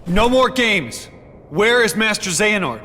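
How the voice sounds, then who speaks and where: An actor playing an earnest, troubled young warrior in a video game, urgent and defiant. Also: male voice, sits high, very animated, a little slow, bright, forceful tone, a little hiss or hum, heavily acted. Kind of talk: video game cutscene acting.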